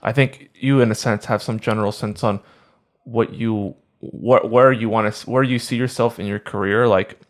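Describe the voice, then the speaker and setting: A man co-hosting a podcast, chatting casually in halting sentences with restarts and fillers. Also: lowish voice, steady tone. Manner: halting, restarts, many "like"s and "you know"s. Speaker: podcast co-host. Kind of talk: casual chat.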